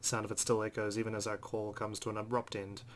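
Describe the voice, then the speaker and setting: A man's voice: lowish voice, level tone, fast talker. A man hosting a let's play, reading visual novel narration aloud with brief asides. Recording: clear background noise.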